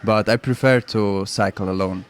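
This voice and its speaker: A man's voice: fairly low pitch, moderate pace, smooth. A young man from Switzerland with Croatian roots, a non-native English speaker, being interviewed on radio and laughing easily. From Switzerland, Croatian family. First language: not English. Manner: relaxed, laughs often, simple non-native phrasing. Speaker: guest cyclist.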